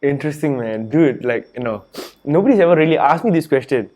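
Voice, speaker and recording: Chatty Singaporean man, relaxed guest. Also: fairly high pitch, a little fast. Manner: relaxed and chatty, peppers speech with "you know" and "man". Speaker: interview guest, author and entrepreneur. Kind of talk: casual interview conversation.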